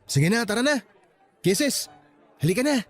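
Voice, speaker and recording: Guy acting a supportive young grad in a radio love story, really high voice, teases a bit. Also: expressive, measured pace, soft background music. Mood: teasing. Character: young male fresh graduate, supportive, teasing best friend.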